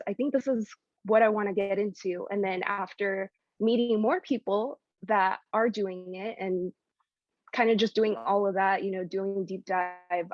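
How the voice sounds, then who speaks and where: A woman's voice: medium pitch, no echo. Woman from the Philippines on a dull call line. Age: 20s. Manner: friendly, laughs easily, many fillers. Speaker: guest. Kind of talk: casual interview chat. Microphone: video call.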